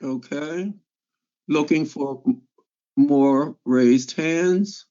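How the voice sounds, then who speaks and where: A man with a somewhat high voice moderates an online class over a dull, lo-fi line. He speaks slowly and repeats his prompts in a measured way. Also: warm tone. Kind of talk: calling on participants. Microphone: video call. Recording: dull lo-fi call audio.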